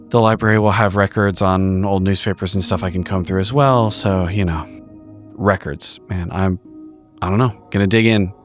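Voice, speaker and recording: A man playing a tabletop roleplaying game, improvising casual in-character talk in a halting, sweary way. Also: deep voice.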